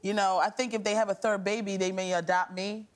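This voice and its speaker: A woman's voice: medium pitch, little pitch movement, fairly quick. A woman panelist on a daytime talk show, playful and joking. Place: TV studio with audience.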